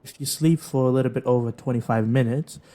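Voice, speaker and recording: A man's voice: mid-range voice, steady tone, quick pace, dark tone. A young man, a trainee pilot, chatting casually on a podcast and laughing easily. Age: young adult.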